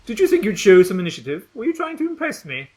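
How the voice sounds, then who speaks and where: A man's voice: sits high, huge pitch swings, quick pace, a little mellow, voice pushed up. A man voicing a bossy, sneering schoolgirl bully who speaks in a prim, over-polite way.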